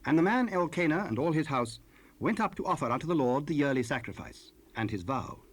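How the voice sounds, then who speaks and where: A man narrating scripture in a solemn, measured, formal style for an audio drama. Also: fairly high pitch, sing-song, slightly rough.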